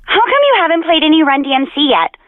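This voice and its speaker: Casting: demanding woman caller, high-pitched, curt, narrow telephone sound. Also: animated, fast talker, dry recording. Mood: impatient. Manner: exasperated, blunt. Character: impatient, demanding female radio caller. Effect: phone call filter. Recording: tinny phone line.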